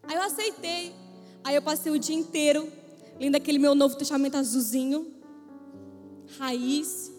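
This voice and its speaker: A very high-pitched woman preaching in a big reverberant hall, faint music under her words. She tells her story playfully and acts out every voice she quotes, like a witty preacher addressing her congregation. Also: steady tone, normal speed, pauses often, a little mellow. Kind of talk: humorous testimony sermon.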